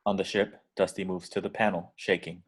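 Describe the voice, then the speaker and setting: Low-voiced man narrating a script. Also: flat delivery, fairly quick, breathy edge. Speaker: reader of action descriptions. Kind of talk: scripted narration at table read.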